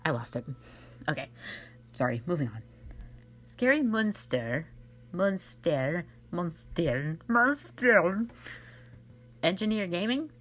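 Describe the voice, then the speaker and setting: A woman's voice: on the low side, very animated, slow, pauses often, fairly bright tone. A woman in a casual question-and-answer style, reading out fan questions and replying in a playful, rambling, self-deprecating way. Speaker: ASMR and audio roleplay voice creator. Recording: faint music behind the voice. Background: faint background music.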